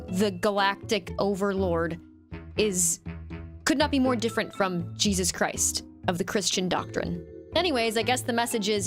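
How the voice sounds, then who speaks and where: A woman giving a scornful, explanatory voice-over commentary. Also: medium pitch.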